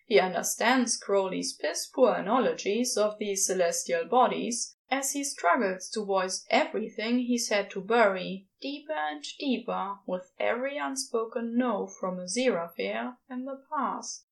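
A woman reading scripted fiction aloud as an expressive story narrator. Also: medium pitch, a little slow, gravelly, few pauses, poor audio. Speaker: podfic narrator.

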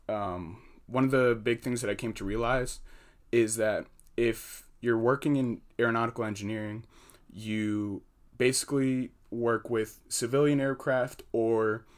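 Soft-spoken young American man, hesitant. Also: on the low side. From United States. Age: young adult. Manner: hesitant, pauses often with fillers, earnest. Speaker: engineering student guest. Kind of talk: podcast interview answer.

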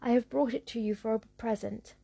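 Woman doing a humble storybook granny, soft, husky voice with some gravel. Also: a little high, fairly even intonation, fairly quick. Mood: gentle. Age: over 60. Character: kindly old grandmother.

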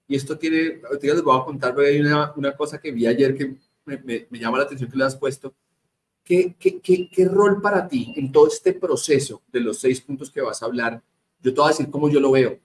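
A man's voice: medium pitch, expressive, normal speed, poor audio. A man with a Colombian accent hosting a conversational interview, speaking emphatically and directly to his guest and audience. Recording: noticeable background noise.

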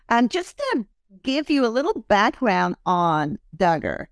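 A woman's voice: fairly high pitch, sing-song, takes it slowly, no room sound, clean studio sound. A woman hosting a podcast in casual interview chat, talking loosely with many ums and fillers. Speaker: podcast host.

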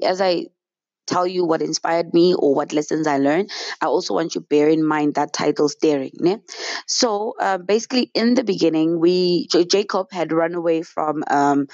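Woman vlogger, deep voice, under the weather and a little breathless, chatty and upbeat, dry close mic. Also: a little mellow, no room sound, highs cut off. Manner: chatty, upbeat, storytelling with faith references. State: fluey and short of breath. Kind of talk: casual inspirational talk to camera.

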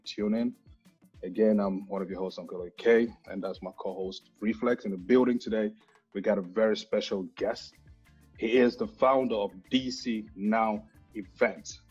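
A man's voice: lowish voice, a little mellow, husky. A man hosting a podcast in casual, slangy chat, often repeating his phrases for emphasis. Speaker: podcast host. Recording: muffled lo-fi audio, faint music.

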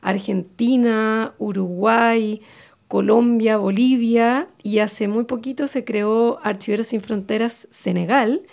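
Chilean woman doing radio, bit creaky, highish voice, feels her way through sentences. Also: steady tone, slow, darkish tone. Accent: Chilean Spanish. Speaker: radio host. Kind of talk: informal radio talk, unscripted.

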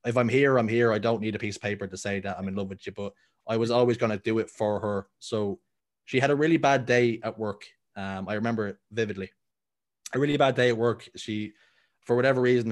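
A man of thirty with a Dublin Irish accent, chatting casually as an interview guest, rambling with many ums and restarts. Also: lowish voice. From Ireland. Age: 30s. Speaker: guest, content creator.

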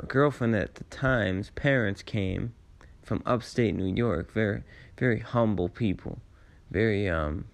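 Slow, raspy man telling personal stories. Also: on the low side. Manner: halting, reflective, laid-back slangy phrasing. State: tired late in the day. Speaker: storyteller sharing personal memories. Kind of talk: casual rambling personal storytelling.

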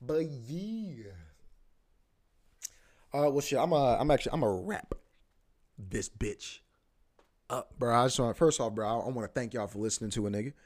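Twenties male podcast host, loose and rambling, mid-pitched voice. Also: expressive, moderate pace, pauses often, a little mellow. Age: 20s. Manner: laid-back, slangy, rambling with many fillers. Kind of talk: casual solo podcast monologue.

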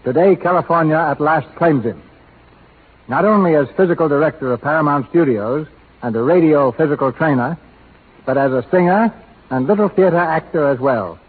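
Male vintage radio host: formal, florid showman, higher-pitched voice, tinny period recording. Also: normal speed, breathy edge. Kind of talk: 1930s scripted radio presentation.

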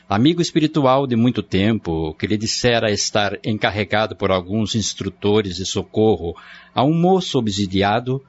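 A man narrating a radio drama in a steady, formal way. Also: lowish voice. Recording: muffled radio play with music.

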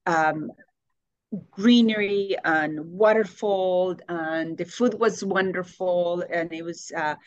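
A woman, answering interview questions warmly and pausing to search for words. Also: lowish voice, no echo, muffled recording. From Colombia. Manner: warm, searching for words, laughs often. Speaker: guest.

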